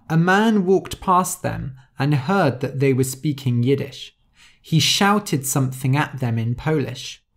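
A podcast host reading a simple story aloud for English learners, explaining words and spelling some out letter by letter. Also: medium pitch.

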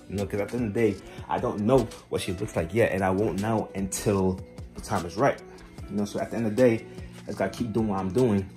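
A man in his twenties chatting casually to camera in a slangy, halting way, recorded on a handheld phone indoors. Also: lowish voice, a little mellow. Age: 20s. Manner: informal, slangy, halting, often says "man". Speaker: vlogger. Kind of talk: casual rambling chat to camera. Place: indoors at home, moving between rooms. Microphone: handheld phone at arm's length.

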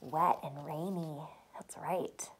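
Slow-paced young woman reading to children. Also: deep voice, husky, low-quality recording. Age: young adult. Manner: asks simple questions, addressing young children; deliberate, expressive reading. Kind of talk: picture-book read-aloud with chatty asides. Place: small furnished room at home, indoors.

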